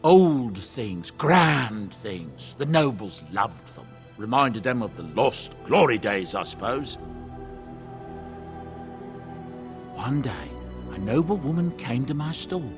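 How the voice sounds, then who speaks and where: A man's voice: mid-range voice, lively intonation, unhurried, soft background music. An actor playing a genial middle-aged dwarven merchant and adoptive father, telling his story in a folksy, self-justifying way for a video game. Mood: wry reminiscing.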